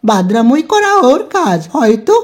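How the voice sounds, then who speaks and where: A woman voicing an excitable monkey father in a cartoon, with exclamatory, drawn-out delivery. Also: fairly high pitch. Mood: chatty. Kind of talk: cartoon voice acting.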